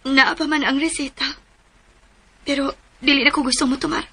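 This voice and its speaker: A woman's voice: high voice, steady tone, moderate pace, pushed and bright. An actress playing a troubled, fearful young woman in a Philippine radio drama, hesitant and emotional, with a Cebuano Filipino accent. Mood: weary, stubborn.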